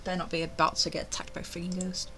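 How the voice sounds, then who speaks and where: A woman reading a visual novel aloud as its player, with expressive narration and casual asides. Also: fairly low pitch, racing, whispered.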